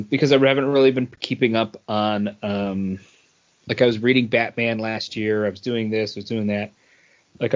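A man co-hosting a podcast, talking in a rambling, enthusiastic fan's way in casual review chat. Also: on the low side. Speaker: podcast co-host. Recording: dull, lo-fi podcast audio.

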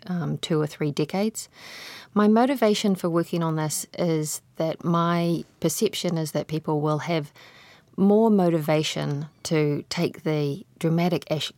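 A New Zealand woman introduces herself as a guest on a podcast, speaking in a very low voice for a woman. She stays composed, with the odd filler. The recording is dry and close. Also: no echo. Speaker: independent researcher, podcast guest. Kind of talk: conversational self-introduction.

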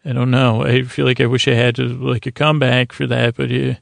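A man with a creaky, gravelly voice hosting a sleep podcast, giving a halting, meandering, soothing bedtime monologue full of digressions. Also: mid-range voice, level tone, no echo. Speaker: podcast host. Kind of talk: rambling bedtime monologue for falling asleep.

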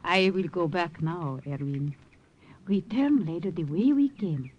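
A woman's voice: fairly low pitch, expressive, a little slow, highs cut off, soft background music. An actress playing a protective older French woman with a French accent, in 1940s radio drama style.

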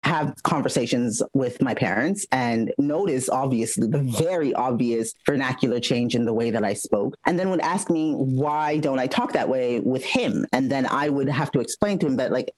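Woman guest, candid storyteller, quick bursts, plenty of "like"s, dry close mic. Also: rumbling low, no room sound, low-quality recording. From United States, raised by Trinidadian parents. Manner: frank, animated, fast bursts with many "like" fillers. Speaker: podcast guest.

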